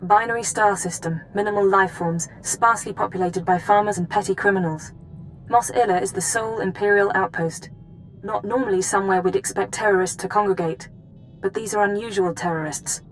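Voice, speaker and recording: A woman's voice: mid-range voice, a little fast, soft background music, natural voice, low-quality recording. A woman playing a cool, businesslike intelligence officer who gives a formal, precise mission briefing in a video game.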